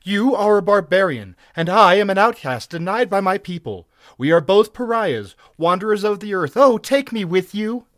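A man's voice: sits high, lively intonation, unhurried, hardly any pauses, pitched above the performer's own voice. A man voicing a passionate young outcast woman who pleads ardently in an adventure story. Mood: passionate, pleading. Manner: ardent, beseeching.

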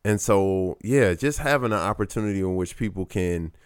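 A man from Saint Louis, a podcast guest, talking thoughtfully with frequent ums and pauses. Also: low-pitched, clean tone, no room sound, studio quality. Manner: thoughtful, hesitant, many ums. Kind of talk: casual conversation.

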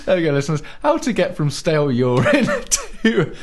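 A man's voice: on the high side, wildly swooping pitch, moderate pace, crowd noise. A man co-hosting a comedy podcast, telling rambling stories and breaking into giggles. His voice swings widely up and down as he talks. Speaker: podcast co-host. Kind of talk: casual comedic chat.

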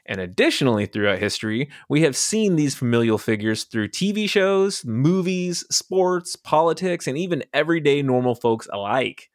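Lively jokey man hosting a podcast. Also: on the high side, moderate pace, few pauses, no room sound, studio quality. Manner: animated, jokey, laughs often. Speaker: podcast host. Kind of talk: casual podcast chat.

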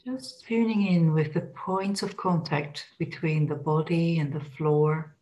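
Slow, low-voiced woman guiding meditation. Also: steady tone, lo-fi sound. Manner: gentle, unhurried, instructional. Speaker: meditation guide. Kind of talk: guided body scan meditation.

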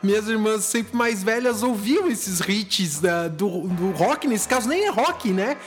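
A man's voice: high voice, animated, measured pace, faint music behind. Playful man hosting a podcast. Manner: playful, teasing. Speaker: podcast host. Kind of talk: casual podcast chat.

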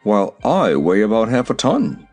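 A man's voice: fairly low pitch, very animated, a little slow, a little mellow, faint music behind. Man voicing a growling, grumpy old horse. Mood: scornful. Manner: growling, scornful, world-weary.

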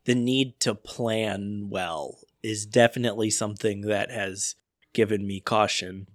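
A man's voice: lowish voice, steady tone, measured pace, dry recording. Casual male podcast host, dry sound. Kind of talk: casual chat.

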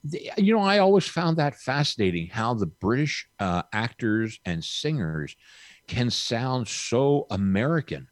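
A man's voice: mid-range voice, sing-song, a little slow, no room sound, clean studio sound. A man in his sixties, a podcast guest chatting casually, with a rambling, folksy, upbeat manner. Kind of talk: casual chat.

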